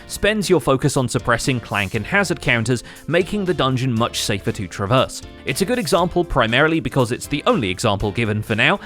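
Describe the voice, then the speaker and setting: A man's voice: mid-range voice, a bit bright. A man hosting a gaming recap show, reading scripted narration in a wry manner.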